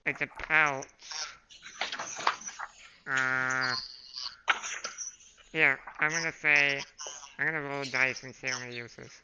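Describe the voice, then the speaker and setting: A hoarse man running a tabletop game as dungeon master, unhurried and full of fillers. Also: medium pitch, level tone. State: hoarse from a throat infection. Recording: muffled home recording with background noise.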